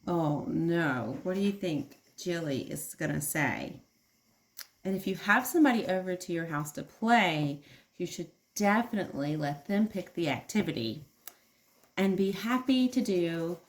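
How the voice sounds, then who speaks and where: A woman preschool teacher giving an expressive read-aloud for young children, adding simple explanations and questions, with emphasis on key words. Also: lowish voice.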